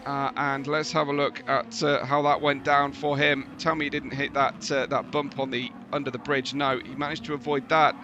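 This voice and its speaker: A man with a tired, gravelly voice commentating a sim racing live stream, chatting between bursts of race calls. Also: mid-range voice, normal speed, few pauses, dull audio, background noise. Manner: chatty, laughing asides, excitable during race action.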